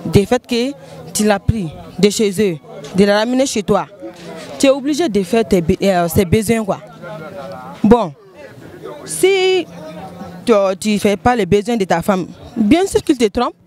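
A woman's voice: medium pitch, very animated, a little slow, long pauses, mellow, a faint crowd. Earnest Malian woman explaining outdoors. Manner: earnest, explaining with many fillers. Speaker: passer-by. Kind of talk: street interview answer. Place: shop front outdoors. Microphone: handheld mic.

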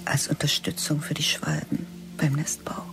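A teacher's sardonic thoughts. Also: female voice, deep voice, completely flat delivery, normal speed, creaky, poor audio. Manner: whispered, sardonic inner monologue. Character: bitter middle-aged teacher's whispered inner thoughts. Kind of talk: radio drama acting.

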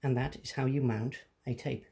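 A man's voice: mid-range voice, fairly even intonation, normal speed, creaky, mellow. A man presenting a casual hands-on tutorial, explaining things step by step.

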